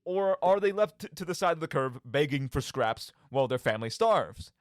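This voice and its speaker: A man with a slightly high voice and very animated, swooping intonation presents a games commentary show. He is theatrical and talks straight to the listener, throwing out rhetorical questions. The sound is close and dry. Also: normal speed, no room sound. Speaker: podcast host. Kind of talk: radio monologue, commentary on video games.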